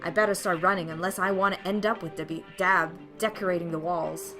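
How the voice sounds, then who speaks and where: A woman's voice: on the low side, steady tone, brisk, over music, close to an everyday voice. A woman playing a frightened, desperate young hero in a visual novel, narrating in an emotional, melodramatic way. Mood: tense, fearful. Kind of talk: dramatic first-person visual novel narration.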